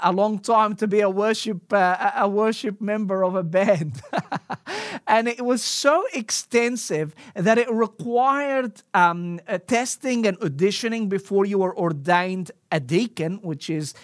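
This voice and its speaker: A man's voice: high voice, dry recording. Hesitant man telling a story, dry sound. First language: a language other than English. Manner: hesitant, many fillers and restarts. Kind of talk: casual personal storytelling.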